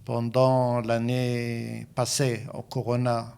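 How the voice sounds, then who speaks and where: A man in his seventies with an Israeli accent, a volunteer advisor calmly explaining procedures step by step in an interview. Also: mid-range voice, creaky, clear, resonant tone. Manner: measured, explains step by step with hesitations. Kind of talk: interview answers.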